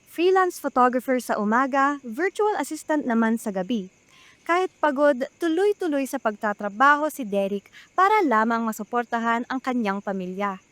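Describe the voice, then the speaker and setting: A woman's voice: fairly high pitch, expressive, normal speed, a bit bright, dry recording. A woman with a Filipino accent reading scripted narration for a radio drama.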